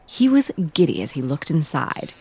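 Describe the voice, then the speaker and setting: A woman's voice: low voice, very animated, normal speed, dark tone, breathy edge, heavily acted. A woman playing a whimsical, digressive narrator, telling a comic audio drama in a wry, theatrical way. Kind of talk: comic scripted storybook narration.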